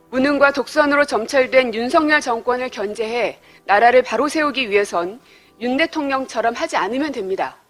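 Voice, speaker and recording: A woman politician delivering a prepared political speech in a formal, measured way. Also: a little high, fairly bright tone.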